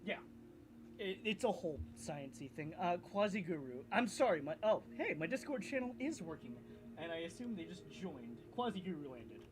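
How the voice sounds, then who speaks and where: A man's voice: high-pitched, big pitch swings, moderate pace, background noise. A man who is a streamer and voice actor, talking casually and reacting animatedly to his chat.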